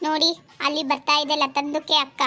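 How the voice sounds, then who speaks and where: A woman's voice: high-pitched, little pitch movement, fairly quick, pushed and bright, a little hiss or hum. A woman playing a blunt, cheeky neighbour woman who mixes Hindi words into broken Kannada.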